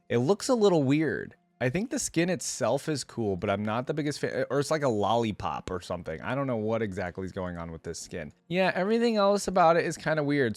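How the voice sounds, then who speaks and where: A man's voice: mid-range voice, dry recording. A man hosting a reaction video, giving his opinions in casual, conversational commentary.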